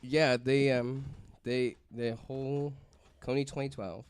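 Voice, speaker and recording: A man's voice: medium pitch, little pitch movement, slow, clear, resonant tone. A man presenting a story on a casual podcast, reading from notes and rambling through asides peppered with "like".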